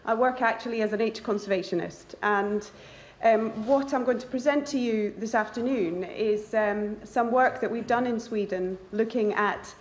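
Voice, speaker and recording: A woman's voice: a little high, normal speed. Woman giving a conference talk, chatty + self-deprecating, echoey room. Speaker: nature conservationist speaker. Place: lecture hall. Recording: roomy hall sound.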